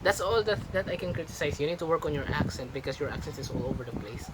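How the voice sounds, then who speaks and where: A man's voice: on the high side, lively intonation, fast. Lecturing young man, noisy room. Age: young adult. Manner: lecturing, emphatic, repeats himself. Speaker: vlogger's son, critic. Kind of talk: casual family chat. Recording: noisy home recording.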